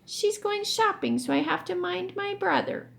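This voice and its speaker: A woman's voice: very high-pitched, moderate pace, gravelly, a bit bright, slight background noise, voice pushed up, full character voice. A woman voicing a reluctant, defensive schoolboy making whiny excuses in a children's storybook. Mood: defensive, uncomfortable.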